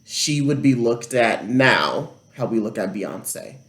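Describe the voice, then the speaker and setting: A man's voice: medium pitch, lively intonation, slow pace, fairly bright tone. A man co-hosting a casual podcast chat, blunt and opinionated. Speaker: podcast co-host. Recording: echoey room.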